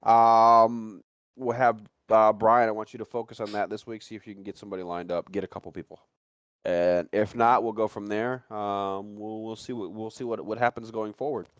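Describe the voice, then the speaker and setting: A man's voice: fairly low pitch, steady tone, moderate pace, clean tone, no echo. A man hosting a casual podcast, talking in a rambling way and circling back over his phrases. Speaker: podcast host.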